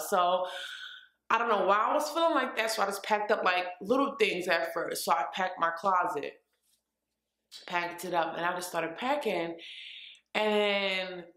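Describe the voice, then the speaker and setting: A woman's voice: medium pitch, a bit bright, roomy sound. A woman in her twenties from New York, chatting casually in a vlog with fillers and restarts. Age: 20s. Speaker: vlogger. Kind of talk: casual life-update vlog. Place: her apartment.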